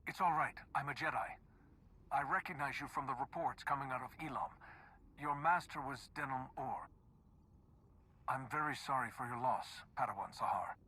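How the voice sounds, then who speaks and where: Bright-voiced, husky, earnest Jedi. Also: male voice, medium pitch, rough recording. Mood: gentle, sympathetic. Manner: earnest, reassuring, measured. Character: calm, compassionate male Jedi, firm peacemaker. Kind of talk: video game cutscene acting.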